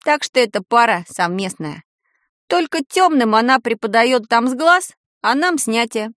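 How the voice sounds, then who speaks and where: A man's voice: extremely high pitch, animated, unhurried, sharp tone, no echo, clean studio sound, full character voice. A woman voicing a curious, grumbling schoolboy wizard in a storybook, in an informal way. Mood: uneasy.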